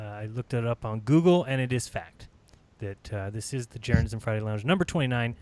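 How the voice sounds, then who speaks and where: A man hosting a live online panel chat, upbeat and chatty, laughing often, and feeling like he is losing his voice. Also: mid-range voice. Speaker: host.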